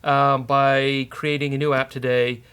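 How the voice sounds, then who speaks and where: A man with an Irish accent hosting a casual live-coding demo, dry, teasing and halting. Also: mid-range voice, flat delivery, a bit bright, clear, resonant tone. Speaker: host, software developer.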